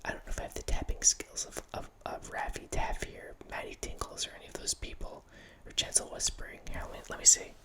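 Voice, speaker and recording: A man's voice: quick pace, few pauses. Whispering man rambling close to the mic. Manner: rambling, self-correcting, casual. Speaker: host. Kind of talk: whispered ASMR horror film ramble. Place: small home room with bookshelf. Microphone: studio mic close up, headphones.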